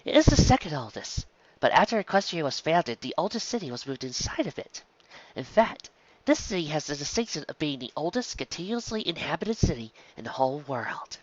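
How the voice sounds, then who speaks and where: A woman's voice: sits low, very animated, muffled recording. Woman as a patient, lilting pony schoolteacher. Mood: instructive. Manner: measured, instructive, questioning. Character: patient adult female pony schoolteacher, gently stern.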